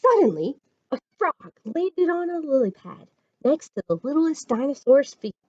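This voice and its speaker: A woman reading a children's story aloud as a storytime reader, expressive and dramatic. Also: sits high, normal speed, no room sound, muffled recording.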